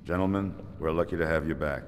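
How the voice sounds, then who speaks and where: A man playing a stern, dignified older navy admiral in a video game, formal and measured. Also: a bass voice, a little hiss or hum. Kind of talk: video game cutscene acting. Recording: echoing large hall.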